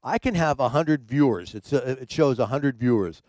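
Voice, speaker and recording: A man's voice: medium pitch, animated, moderate pace, a little mellow, no echo. A man who works as a DJ, hosting a casual livestream chat from his home studio, rambling with many fillers and repeated words. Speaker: streaming DJ and show host.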